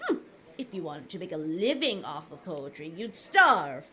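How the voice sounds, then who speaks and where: Wildly theatrical bossy auntie. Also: female voice, deep voice, very animated, moderate pace, background noise. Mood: exasperated. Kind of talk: animated visual novel acting.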